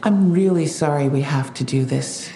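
An actress playing a courteous, steady police detective, asking questions politely and gently in an audio drama. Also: female voice, deep voice, normal speed, slight background noise. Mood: calm, careful. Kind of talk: full-cast audio drama acting.

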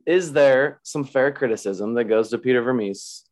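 A man hosting a casual sports chat, his voice raspy and hoarse, rambling and restarting as he talks. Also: medium pitch, moderate pace, a little mellow. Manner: rambling, self-interrupting, laughs often. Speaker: podcast host.

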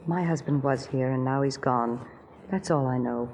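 A woman's voice: rumbling low, normal speed. An actress playing a guarded, unhappy young lady of a wealthy estate in 1940s radio drama, composed and evasive. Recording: faintly noisy 1940s radio recording.

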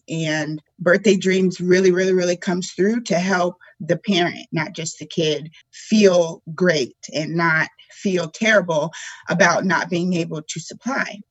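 A woman's voice: lowish voice, no echo, dull audio. A young woman working as a volunteer coordinator, speaking sincerely and personally as an interview guest. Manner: sincere, personal, repeats words for emphasis.

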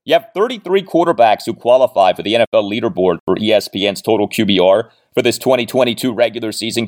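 A man hosting a sports podcast, giving commentary in an emphatic, deliberate way with figures spelled out in full. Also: lowish voice. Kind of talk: sports commentary, presenting and analysing interview clips.

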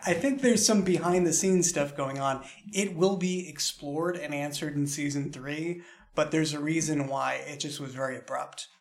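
A man talking casually in a behind-the-scenes discussion, explaining things with an occasional chuckle in his speech. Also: a little high. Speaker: podcast creator in a cast discussion.

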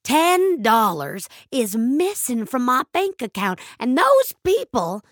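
A woman's voice: high-pitched, wildly swooping pitch, slow pace, no room sound, studio quality, voice pushed up, heavily acted. A woman playing an angry, nostalgic elderly woman in an audio drama, speaking emphatically and wistfully with plenty of swearing. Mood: defiant, angry. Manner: emphatic, wistful, foul-mouthed. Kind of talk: dramatic audio fiction monologue.

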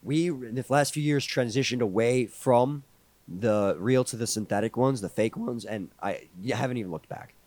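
A hoarse man in his twenties, co-hosting a podcast in casual, joking chat. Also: mid-range voice, a little mellow, no room sound. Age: 20s. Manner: quick, easygoing, joking. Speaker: podcast co-host.

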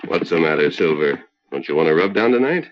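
A man's voice: rumbling low, expressive. An actor playing a heroic masked cowboy lawman, firm, earnest and commanding, in old-time radio western style. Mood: concerned, gentle. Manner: firm, earnest, measured delivery. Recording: muffled vintage radio recording.

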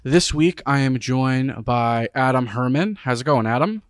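Male podcast host, friendly and steady, crisp resonant voice, dead dry sound. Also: mid-range voice, measured pace, dry recording. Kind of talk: casual sports podcast chat.

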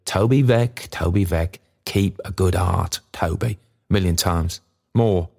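A man's voice: low-pitched, a little slow, vocal fry, a little mellow, heavily acted. A man voicing a kindly, poor old working father in a storybook, musing cheerfully in folksy, rambling speech. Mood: warm, animated. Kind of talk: storybook character dialogue.

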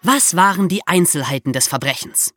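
A woman co-hosting a podcast, explaining true crime in a lively storytelling way with rhetorical questions. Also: fairly low pitch, moderate pace, loud music behind the voice. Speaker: podcast co-host.

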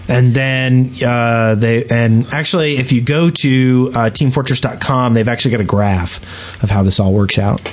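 A man co-hosting a casual gaming podcast, explaining things in a halting, conversational way. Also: medium pitch, a little hiss or hum. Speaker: podcast co-host.

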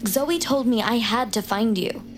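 A woman's voice: on the high side, measured pace, a little hiss or hum, lightly acted. An actress playing an intense young woman in an audio drama, glib and socially blunt, with naturalistic delivery. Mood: defensive, earnest. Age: young adult. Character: glib, intense young woman, awkward true-crime survivor.

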